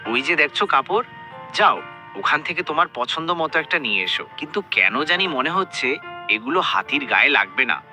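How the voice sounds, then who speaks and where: A man's voice: fairly high pitch, very animated, normal speed. A man voicing a sly male cartoon creature, a cheeky thief who teases and chuckles. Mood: teasing. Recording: cartoon with music underneath.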